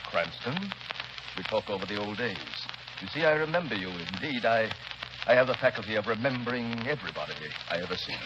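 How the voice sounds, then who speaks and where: An actor playing a sinister, controlling rich man who talks smoothly and insists on taking charge, in 1930s radio drama style. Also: lowish voice, expressive, brisk, lightly acted. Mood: menacing. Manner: smooth, domineering, overly solicitous. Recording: muffled old radio broadcast with hiss.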